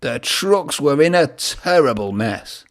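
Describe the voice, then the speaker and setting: A man's voice: a little high, expressive, slow, vocal fry. A man reading a children's story aloud in a narrating style. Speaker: storybook reader.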